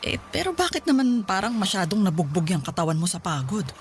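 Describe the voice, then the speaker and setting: A woman's voice: mid-range voice, big pitch swings, a little fast, close to an everyday voice. A woman playing a worried middle-aged mother asking questions in a Tagalog radio drama. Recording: some noise behind the voice.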